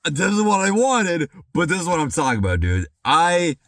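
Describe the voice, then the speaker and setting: A young man talking through a casual music reaction, rambling and excitable, with lots of "dude" and "like", a slightly worn voice, and loud outbursts when he gets hyped. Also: fairly high pitch, moderate pace, no room sound. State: voice worn from singing practice.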